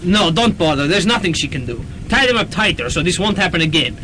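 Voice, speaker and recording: A man's voice: high-pitched, big pitch swings, brisk, pushed and bright, slight background noise, full character voice, low-quality recording. A young man playing a bossy terrorist leader in a college radio drama, blustering and defensive. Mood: commanding. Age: young adult. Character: bossy male terrorist leader, touchy about his authority.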